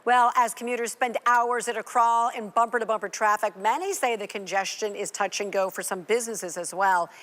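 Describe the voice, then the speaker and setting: A woman's voice: medium pitch, fairly even intonation, a little fast, hardly any pauses, a bit bright. A woman with an American accent anchoring a live television news broadcast, handing off to reporters.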